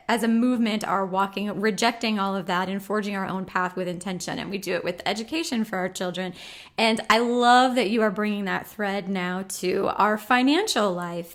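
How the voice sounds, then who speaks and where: An American woman hosting a podcast in casual conversation, speaking in an earnest, emphatic way. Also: medium pitch. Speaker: podcast host.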